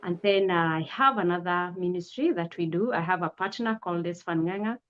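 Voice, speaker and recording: A woman in her forties, a pastor, speaking earnestly and gratefully in an interview, pausing often on "uh". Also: fairly low pitch. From Kenya. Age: 40s. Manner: earnest, grateful, measured with frequent "uh" pauses. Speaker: pastor and guest. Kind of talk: testimony interview. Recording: dull lo-fi audio.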